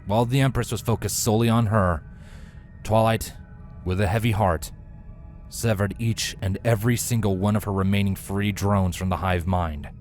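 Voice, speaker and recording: A man reading scripted fantasy fiction as a narrator, in a measured, solemn, dramatic way. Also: lowish voice, fairly even intonation, a little mellow, faint music behind. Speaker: narrator, fan fiction reader.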